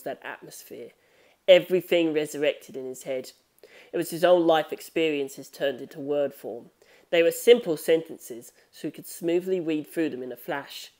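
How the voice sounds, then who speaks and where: A woman's voice: deep voice, darkish tone. A woman reading scripted novel narration aloud in the manner of an audiobook. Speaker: audiobook reader.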